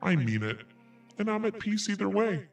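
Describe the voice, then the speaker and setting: A man's voice: sits high, very animated, moderate pace, gravelly, recorded from a distance, soft background music. A man podcast host reading an email aloud in a theatrical, emphatic way. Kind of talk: dramatic read-aloud of an email.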